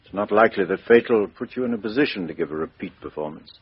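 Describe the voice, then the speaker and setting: Low-voiced police inspector. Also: male voice, lively intonation, fast, slightly rough, no room sound. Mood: dryly lenient. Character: lenient, dry-humoured English male chief inspector. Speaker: actor playing a police chief inspector. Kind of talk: radio mystery drama acting. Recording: dull, dry lo-fi radio recording.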